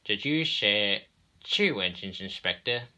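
A man voicing a stern, deliberate railway director in a storybook, patient but grim and used to command. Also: on the low side, animated, pushed and bright, exaggerated voice.

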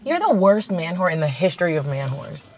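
A woman's voice: on the low side, very animated, a little fast, a little mellow, faint music behind. A woman playing a scheming, impatient young ex-girlfriend who snaps dismissively. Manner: dismissive, snapping.

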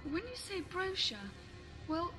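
Woman playing a plucky, high-voiced space traveller. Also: lively intonation, music in the background. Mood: skeptical. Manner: brisk questioning. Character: inquisitive, plucky, skeptical female space traveller. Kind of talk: science fiction audio drama acting.

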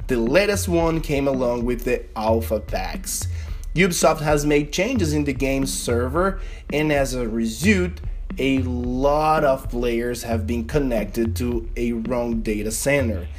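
A young man from Brazil with a Brazilian Portuguese accent, narrating a game tutorial in an earnest, slightly halting way. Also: medium pitch, faint music behind. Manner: earnest, slightly halting non-native delivery. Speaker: gaming video creator.

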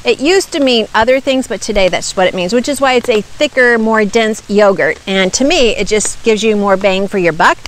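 A woman's voice: medium pitch, normal speed, few pauses, a little hiss or hum, low-quality recording. A woman in her fifties reviewing products to camera outdoors, explaining in an earnest, emphatic teaching manner on a lapel mic. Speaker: nutrition ministry host. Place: outdoors by woods.

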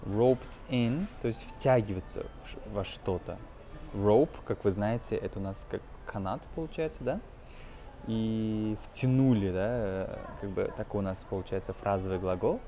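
A man in his twenties giving an informal language lesson as a teacher, explaining phrases in a halting, hesitant way. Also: on the low side, mellow, soft background music. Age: 20s. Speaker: English teacher.

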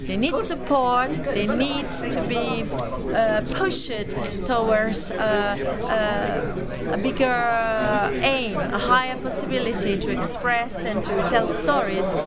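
A woman from Italy speaking to camera, earnest and halting. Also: on the high side, over a noisy crowd. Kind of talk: short interview to camera.

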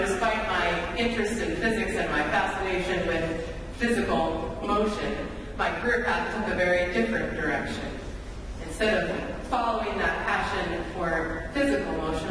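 A woman in her thirties preaching a sermon from a church pulpit in measured, deliberate phrases with rhetorical repetition. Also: mid-range voice. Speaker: pastor. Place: large church sanctuary, at the pulpit. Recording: echoey church hall, distant mic, background noise.

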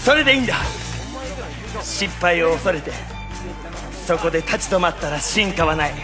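A man's voice: a little high, animated, normal speed, lightly acted. A man acting a supportive young sports mentor in a stage play, earnest and emphatic. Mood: proud, earnest. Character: supportive young adult male mentor, proud of his pupil. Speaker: stage actor. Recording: stage recording with music and crowd.

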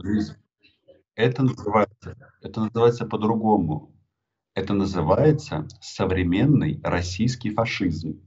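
A man in his thirties with a Ukrainian accent, arguing forcefully in an interview over a video call and pressing his points with rhetorical questions. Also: lowish voice, normal speed. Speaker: journalist being interviewed. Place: indoor room with a poster on the wall. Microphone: webcam on a video call. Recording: dull lo-fi video call audio.

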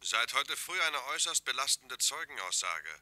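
An actor playing a brisk, formal gendarmerie lieutenant in a radio crime play, with clipped official delivery. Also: male voice, medium pitch, sing-song, sharp tone, no echo. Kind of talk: German radio play acting.

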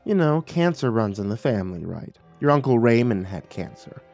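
A man's voice: mid-range voice, very animated, moderate pace, vocal fry, darkish tone, soft background music. A man voicing an older mother who is passive-aggressive, pouty and pointed, in a dramatized story. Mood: self-pitying. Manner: accusatory, guilt-tripping, pointed.

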